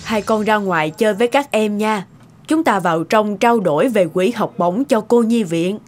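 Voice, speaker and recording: A woman's voice: medium pitch, moderate pace, close to an everyday voice. A woman playing a courteous, composed lady in a cartoon. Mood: friendly. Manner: polite. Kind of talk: animated cartoon dialogue. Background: music.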